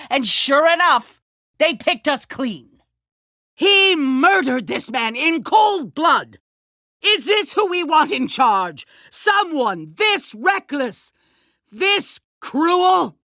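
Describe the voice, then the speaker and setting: An actress playing a calculating, self-righteous leader of a survivor community in a video game, speaking in a measured, condescending, politician-like way. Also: female voice, high-pitched, sharp tone, no room sound, studio quality, exaggerated voice. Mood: rousing, accusing. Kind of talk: dramatic video game voice acting.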